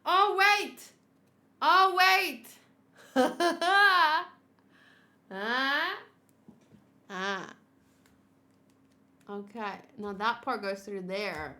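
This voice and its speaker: A woman's voice: sits high, extremely slow, pauses often, pushed and bright. A woman streamer chatting casually and giggling as she unboxes and assembles a figure, answering her chat.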